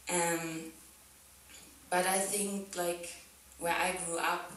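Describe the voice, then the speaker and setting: Young German woman, a festival artist, speaking slightly low and unhurried into a handheld mic in a big echoing hall. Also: little pitch movement, a bit bright, slight background noise. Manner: thoughtful, many "like" and "um" fillers, laughs often. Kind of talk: artist's talk, casual reflection. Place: theatre stage on a sofa before an audience. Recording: very echoey hall, speaker far from the camera.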